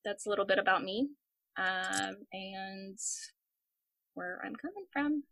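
A woman's voice: mid-range voice, measured pace, vocal fry, fairly bright tone. A woman in her thirties from the United States, telling her story reflectively as a podcast co-host. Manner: reflective, many fillers. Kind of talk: casual podcast chat.

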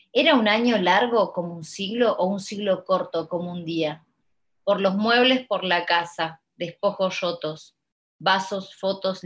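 A woman co-hosting a radio show, reading a poem slowly and intimately. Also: lowish voice. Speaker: co-host reading poetry. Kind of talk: slow poetry reading.

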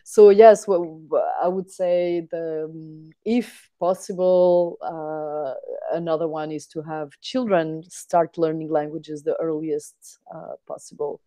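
Middle-aged woman, native Portuguese speaker, warm low tone, halting delivery. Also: steady tone, dry recording. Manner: hesitant, many fillers and repeated words. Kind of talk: casual conversation.

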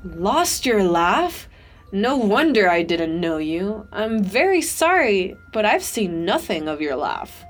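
A woman's voice: mid-range voice, big pitch swings, slow, faint music behind. Cheerful little lantern sprite, played by a woman, chatty and sing-song. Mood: friendly. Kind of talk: storybook reading.

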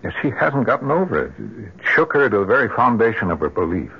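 A low-voiced middle-aged American husband and father, grieving and strained, in a vintage radio drama. His halting delivery swings widely in pitch, and the old recording is muffled. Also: very animated, breathy edge, heavily acted.

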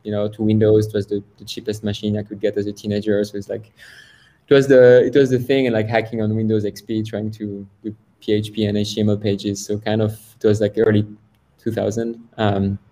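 A somewhat low-voiced man in his thirties, from France, talks as a guest over a video call. He speaks casually and hesitantly, circling back with fillers and restarts as he tells his story. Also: level tone, a little fast, warm tone. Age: 30s. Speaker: guest, software founder. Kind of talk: casual interview talk.

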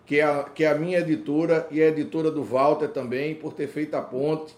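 A man hosting an interview, introducing his guest formally and asking questions in a measured, complimentary way. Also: a little high, flat delivery, darkish tone. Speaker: interview host.

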